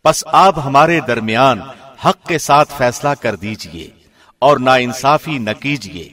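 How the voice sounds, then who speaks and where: A man's voice: medium pitch, expressive, bright, forceful tone. Man solemnly reading scripture translation. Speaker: radio host reading a translation.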